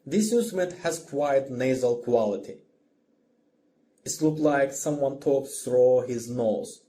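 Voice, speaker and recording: Non-native english guy teaching music, soft mellow tone, careful scripted explainer narration. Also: mid-range voice, a little hiss or hum. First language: not English. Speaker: music orchestration instructor.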